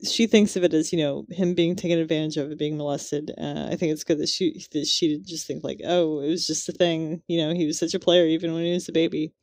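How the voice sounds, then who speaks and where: A woman's voice: sits low, darkish tone, dry recording. A woman co-hosting a podcast, musing casually through a book in a rambling way. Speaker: podcast co-host.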